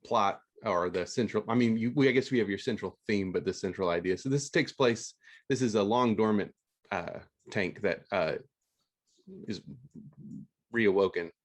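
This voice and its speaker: A man hosting an unscripted interview in a casual way, halting and often rephrasing mid-sentence. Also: fairly low pitch. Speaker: podcast host. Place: remote video call.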